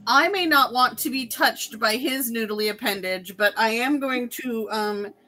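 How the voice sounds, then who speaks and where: A woman's voice: fairly high pitch, measured pace, bright, forceful tone, rough recording. A woman chatting casually as a player at a game table, playful and sweary, with synth music faintly behind her.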